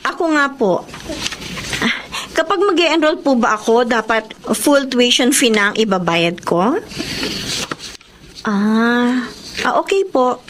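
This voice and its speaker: High-voiced, hardworking young big sister. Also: female voice, lively intonation, takes it slowly, long pauses, background noise. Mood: polite, restrained. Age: young adult. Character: hardworking, self-sacrificing young breadwinner, an older sister. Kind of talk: Tagalog radio drama acting.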